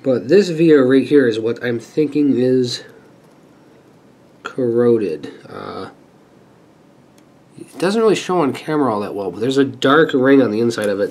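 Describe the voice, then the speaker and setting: Stuffy-sounding man chatting at his workbench. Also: mid-range voice. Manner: relaxed and chatty, thinking aloud while working. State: stuffy from a sinus infection. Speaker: repair channel host. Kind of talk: casual hands-on repair walkthrough. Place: indoor workbench.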